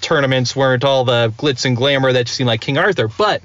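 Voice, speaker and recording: A man's voice: medium pitch, brisk, fairly bright tone, no echo, highs cut off. A man hosting a casual podcast chat, asking questions in a teasing, joking way. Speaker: podcast host.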